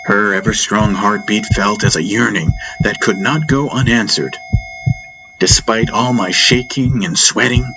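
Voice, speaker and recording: A man reading a dramatic first-person audiobook story in deliberate, theatrical phrases with weighty pauses. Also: fairly low pitch, noisy background. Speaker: audiobook narrator. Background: atmospheric music soundtrack.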